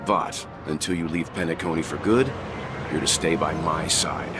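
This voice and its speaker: Very deep guarded serious traveller, terse, composed, video game dialogue, heavy background music. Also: male voice, fairly even intonation, moderate pace. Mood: guarded, firm. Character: guarded, stern but considerate man, a seasoned traveller.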